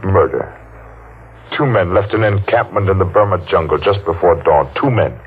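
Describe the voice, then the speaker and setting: A muffled, hissy vintage radio thriller recording carries a vengeful masked man played by an actor, his voice extremely deep. He taunts and accuses with slow-burning, theatrical menace, a maimed survivor toying with the one he blames. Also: natural voice. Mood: menacing, accusing. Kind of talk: old-time radio thriller acting. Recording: muffled, noisy old-time radio recording.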